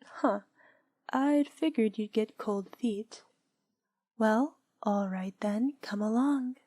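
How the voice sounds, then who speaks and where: Woman acting as playful witch, higher-pitched, unhurried, soft half-whispered delivery, dry close sound, coaxing ASMR roleplay. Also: no room sound. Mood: teasing, challenging. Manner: soft, breathy, often dropping to a whisper. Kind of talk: intimate ASMR roleplay audio, addressing the listener.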